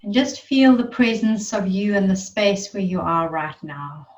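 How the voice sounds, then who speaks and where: A woman leading a guided meditation in a soothing, reverent manner, leaving long pauses between phrases. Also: medium pitch, animated. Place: online group gathering. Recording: muffled, roomy, distant online-call sound.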